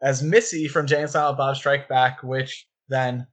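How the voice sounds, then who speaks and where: A man's voice: mid-range voice, steady tone, normal speed. Man co-hosting a casual film podcast. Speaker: podcast co-host.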